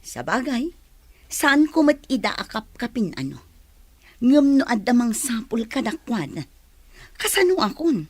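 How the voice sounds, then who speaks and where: A concerned village woman in an Ilocano radio drama. Her voice is slightly high, with animated, swinging pitch. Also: a little slow, pauses often, husky, no room sound, heavily acted. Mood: worried.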